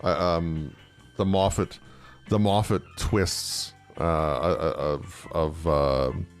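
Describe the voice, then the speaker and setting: A man chatting casually as a podcast host, in a hesitant, halting way. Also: a bass voice, steady tone, faint music behind. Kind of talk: casual fan podcast chat.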